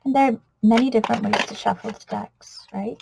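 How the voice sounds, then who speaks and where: A woman with a Trinidadian accent, giving a gentle spiritual card reading in an earnest, soft-spoken way that often drops to a whisper. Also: a little high, steady tone, brisk, gravelly, noisy background. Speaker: oracle card reader.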